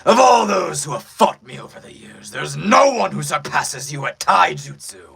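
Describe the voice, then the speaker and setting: A man voicing a battle-hungry, grandiose anime villain in a fan dub, declaiming forcefully with a deep, raspy edge. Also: expressive, heavily acted. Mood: exultant, grandiose. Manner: grandiose, drawn-out declaiming with shouts.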